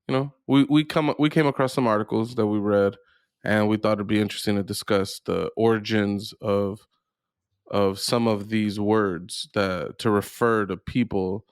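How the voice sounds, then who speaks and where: A man with a fairly low voice and some fry talks casually as a podcast host. He feels his way through thoughts haltingly, and the sound is close and dry. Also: no room sound. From United States.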